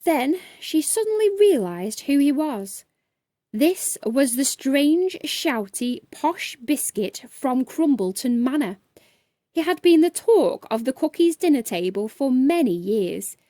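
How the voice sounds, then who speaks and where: Slow, expressive woman storyteller. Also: high voice, no echo, studio quality. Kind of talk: expressive scripted children's bedtime story narration.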